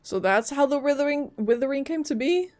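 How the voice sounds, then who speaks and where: A woman's voice: sits high, lively intonation, fairly quick, darkish tone. A woman streamer giving casual, chatty commentary while playing a game. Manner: chatty, reactive. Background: faint game music.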